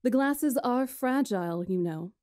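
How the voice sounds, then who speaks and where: A poised witch of a fantasy visual novel, played by a woman. She speaks in a mellow, relaxed tone, formally and deliberately, with quiet command over her listeners. The sound is dry and close. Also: on the high side, dry recording. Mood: stern, formal. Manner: formal, deliberate, imperious storytelling. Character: poised, commanding witch storyteller lecturing a council.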